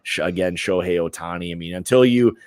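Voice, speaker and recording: Casting: American man, low register, opinionated sports show host, informal and slangy, close dry sound. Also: brisk, a little mellow, clear, resonant tone, no room sound. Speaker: host of a sports prediction livestream. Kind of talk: casual sports chat.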